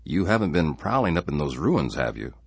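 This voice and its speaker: Male reader doing anxious settler dad, stern and insistent, husky, dry sound. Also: extremely low pitch, big pitch swings, a little fast, no room sound, heavily acted. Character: anxious male settler father, forced cheer.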